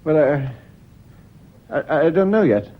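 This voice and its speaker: Lively, tense, defensive British schoolmaster. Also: male voice, fairly high pitch, unhurried, dull audio. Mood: defensive, indignant. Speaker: actor in a radio play. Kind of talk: British radio drama acting.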